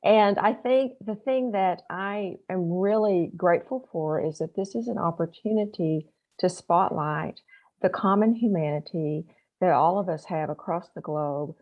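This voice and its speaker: A woman, introducing herself earnestly as a psychiatrist on a panel. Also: lowish voice. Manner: earnest, enthusiastic. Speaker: psychiatrist and medical faculty. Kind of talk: video call introduction. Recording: dull, lo-fi audio.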